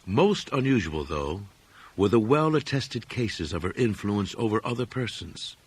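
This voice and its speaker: A man reading gothic horror fiction as an audiobook narrator, in measured, dramatic phrases that often fall away at the end. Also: fairly low pitch, expressive, husky.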